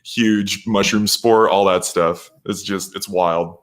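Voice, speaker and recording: Low-voiced enthusiastic man in casual chat. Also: fairly even intonation, slow pace. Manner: enthusiastic, nostalgic. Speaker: guest panelist.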